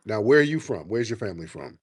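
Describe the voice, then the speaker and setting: A man's voice: lowish voice, sing-song, fast talker, breathy edge, no echo. Male radio host, dry close sound, argues hard, cuts callers off. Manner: combative, interrupting, lecturing. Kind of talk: call-in radio show.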